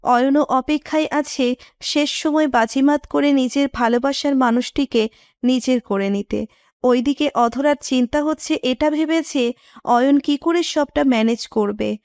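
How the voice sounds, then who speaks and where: Woman, story narrator, high voice, scripted romantic narration, dry sound. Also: measured pace, darkish tone, dry recording.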